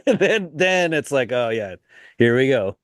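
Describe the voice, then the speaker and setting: A man's voice: mid-range voice, big pitch swings, slow. A young man co-hosting a podcast in a casual, rambling chat with many restarts. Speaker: podcast co-host.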